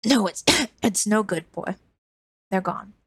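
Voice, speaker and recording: Brief: woman reader plays a coughing old crone near death, husky and faint, dry close read. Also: medium pitch, moderate pace, no room sound. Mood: weak. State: wheezing and coughing. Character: dying old woman wanderer, weary and remorseful.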